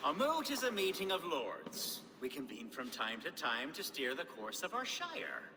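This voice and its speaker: Very animated noble councillor. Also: male voice, a little high, normal speed. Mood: instructive. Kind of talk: dramatic video game acting. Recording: long hall-like reverb.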